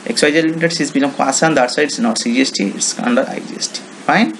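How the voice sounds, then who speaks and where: Male software demonstrator, Indian accent, creaky voice, halting unscripted tutorial delivery, slightly noisy screencast audio. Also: medium pitch. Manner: halting, non-native English phrasing with repeated check words.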